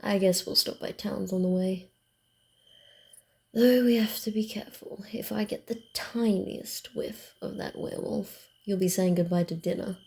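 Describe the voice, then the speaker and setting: A woman voicing a wary, dryly teasing vampire in a soft ASMR roleplay, often dropping to a whisper, with a grumbling, hesitant manner. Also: medium pitch, steady tone, moderate pace, vocal fry, natural voice. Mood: guarded, bossy.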